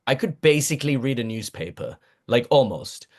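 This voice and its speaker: A man whose first language is German talks in a relaxed, rambling way as an interview guest. His voice sits at an ordinary mid pitch. He laughs often, pokes fun at himself and restarts his sentences as he goes. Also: normal speed. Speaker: guest voice actor. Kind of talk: casual interview chat.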